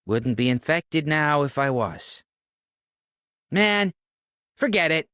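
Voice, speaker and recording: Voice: mid-range voice, sing-song, takes it slowly, a bit bright, clear, resonant tone, dry recording, clean studio sound. A performer voicing a laid-back, self-mocking young operator in a video game, offhand and slangy. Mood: offhand, wry. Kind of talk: English video game character voice lines.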